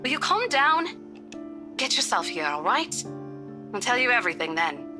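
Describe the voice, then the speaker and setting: An actress playing a bossy, brisk young female office colleague in a visual novel game. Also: a little high, very animated, over music. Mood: exasperated, urgent.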